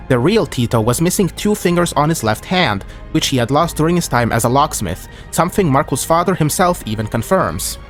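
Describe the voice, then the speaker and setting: A man reading scripted history narration in a measured way, quoting documents aloud. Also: medium pitch.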